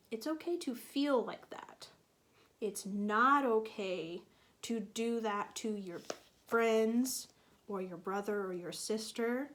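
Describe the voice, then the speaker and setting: Lady teacher doing storytime for little kids, really slow, asks questions and waits, patient explaining. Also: mid-range voice. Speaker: teacher reading a picture book aloud to young children. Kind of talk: children's read-aloud with questions to listeners.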